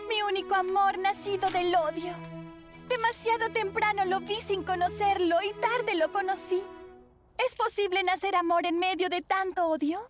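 Very high-pitched, dramatic teenage girl. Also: normal speed, music in the background. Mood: lovelorn. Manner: dramatic, expressive. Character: teenage schoolgirl Clara, dramatic and angry over a rival boy.

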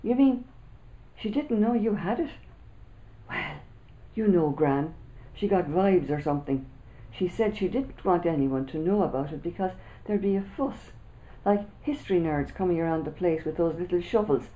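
An older woman reading her own children's book aloud with lively first-person storytelling, seated in a small room. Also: fairly low pitch, normal speed, low-quality recording. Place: small indoor room with printed backdrop.

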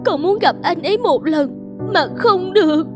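A woman voicing a sweet, sensitive young village beauty in love, in an animated fairy tale. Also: very high-pitched, normal speed, music in the background. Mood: tearful, hurt.

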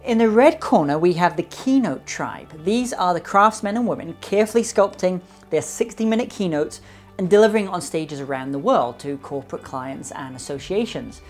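A man's voice: sits high, animated, normal speed. Polished male presenter, faint music behind. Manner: polished, emphatic delivery with gesturing hands. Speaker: business speaker and presenter. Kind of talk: scripted explainer to camera. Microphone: lapel mic.

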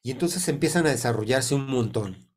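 A man in his sixties with a Mexican Spanish accent, congested from a cold, teaching informally over a video call in a halting, deliberate way with many pauses. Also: mid-range voice, expressive, breathy edge. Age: 60s. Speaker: sound therapy teacher. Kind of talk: informal explanatory online talk. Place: indoor room on a video call. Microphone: computer mic on a video call.

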